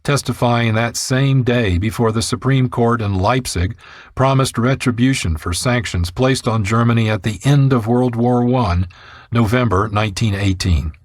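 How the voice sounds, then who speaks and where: A man narrating a scripted radio documentary in a measured, formal way. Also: medium pitch, dry recording, studio quality.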